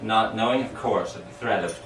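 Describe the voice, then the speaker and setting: A man giving an expressive, dramatic recital of storytelling verse in a sing-song rhyming rhythm. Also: lowish voice, brisk. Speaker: amateur poet reading their own poem. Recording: echoey room, distant mic, background hiss.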